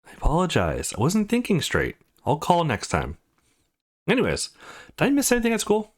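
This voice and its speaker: A man's voice: on the high side, wildly swooping pitch, moderate pace, husky, voice pushed up, exaggerated voice. A man voicing a laid-back but defiant teenage schoolboy with a casual, slangy manner in a visual novel. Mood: apologetic.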